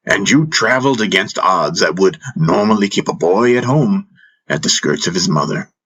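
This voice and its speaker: A man voicing a wise spiritual master in an audiobook, teasing and patient, teaching through probing questions in a husky voice. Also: sits low, animated, moderate pace, fairly bright tone, full character voice. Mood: admiring, musing. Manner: instructive, rhetorical questioning with prompting hums. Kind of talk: audiobook character dialogue.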